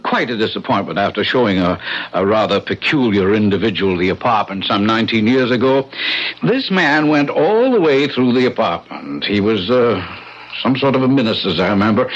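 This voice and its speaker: An actor playing a genteel older landlord in a 1970s radio drama, fussily polite and ingratiating with a faintly sinister edge and sly, hesitant, mannered phrasing. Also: male voice, medium pitch, fairly bright tone. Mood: sly, fussy. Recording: muffled 1970s radio broadcast recording.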